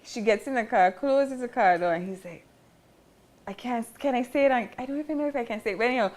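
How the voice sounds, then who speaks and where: A woman's voice: a little high, quick pace, darkish tone. A woman hosting a casual panel talk show, telling stories in a chatty way and laughing as she talks. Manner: chatty storyteller, laughs while talking.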